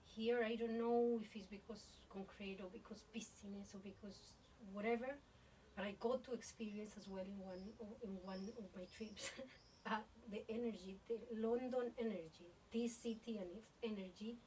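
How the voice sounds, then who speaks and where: A woman's voice: mid-range voice, steady tone, moderate pace, warm tone. Distant-miked woman. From born in Colombia, grew up in Spain. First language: Spanish. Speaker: podcast host. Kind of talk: reflective interview conversation. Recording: distant mic, faint background noise.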